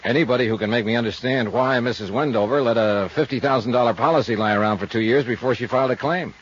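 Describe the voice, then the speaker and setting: A man's voice: mid-range voice, fast talker, close to an everyday voice. A man playing a wry American insurance investigator in 1950s radio detective style, clipped, dry and businesslike in dialogue and narration. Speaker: lead actor and narrator. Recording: dull 1950s radio recording, faint music.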